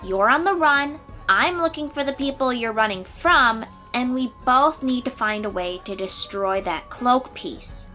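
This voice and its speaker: A woman's voice: a little high, expressive, a little slow, hardly any pauses, pushed and bright. A woman playing a confident, eager young sea-dweller warrior in a fantasy audio roleplay, speaking expressively and informally to the listener. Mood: earnest, determined. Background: music.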